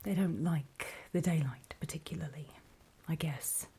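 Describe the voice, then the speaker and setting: Breathy, whispering woman as a wary apocalypse survivor. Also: low voice, exaggerated voice. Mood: wary, instructive. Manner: hushed, deliberate, halting storytelling with pauses. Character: wary, battle-worn female survivor of a zombie apocalypse. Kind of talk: whispered ASMR horror roleplay monologue.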